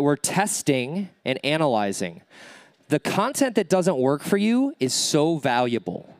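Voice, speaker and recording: A man's voice: fairly high pitch, no room sound. Nasal guy running a workshop, upbeat coaching, dry close sound. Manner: energetic, coaching, checks in with "okay?" and "right?". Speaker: workshop speaker. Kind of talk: live workshop talk answering questions. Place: event stage.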